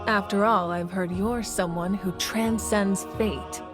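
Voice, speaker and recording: A woman's voice: medium pitch, steady tone, natural voice. A woman voicing a composed, commanding ruler. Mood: warm. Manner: measured, grave, deliberate. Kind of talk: game voice acting. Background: game music.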